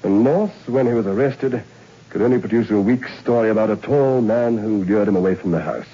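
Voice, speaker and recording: A man's voice: on the low side, a little fast, warm tone, husky. An actor playing a stern male prison governor, an army major, speaking in a clipped, commanding way in a 1960s radio drama. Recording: dull lo-fi old radio recording with faint hiss.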